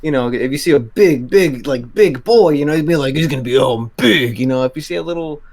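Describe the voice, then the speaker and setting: A man in a casual podcast chat, talking haltingly and repeating words as he gets his sentences going. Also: medium pitch, a little mellow. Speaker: podcast co-host, voice actor.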